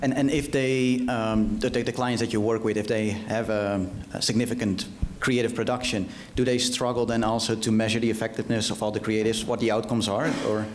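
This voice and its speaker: A man's voice: lowish voice, flat delivery, brisk, hardly any pauses, slight background noise. A man moderating an industry panel, asking short follow-up questions.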